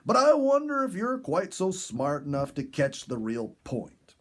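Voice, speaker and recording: Male narrator doing candid porcupine, storybook animal, folksy, blunt, teasing, animated intonation, husky. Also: high-pitched, unhurried, voice pushed up, exaggerated voice. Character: cocky, candid porcupine, gruff and folksy wise.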